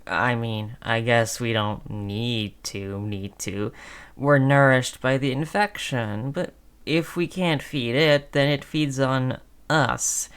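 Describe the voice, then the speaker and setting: Woman playing a low-voiced, slow-talking chummy zombie. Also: clear, resonant tone. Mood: cajoling, reasoning. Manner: falsely chummy, coaxing, conversational with hesitations. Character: friendly-acting female zombie coaxing prey, sly and chummy. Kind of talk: audio roleplay acting.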